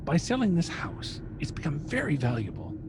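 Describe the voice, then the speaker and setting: A man's voice: fairly high pitch, wildly swooping pitch, normal speed, background noise. A man playing a pushy, wheedling visitor in a radio drama, speaking insistently and emphatically. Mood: persuasive. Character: pushy, wheedling visitor urging a house sale. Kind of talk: 1940s radio acting.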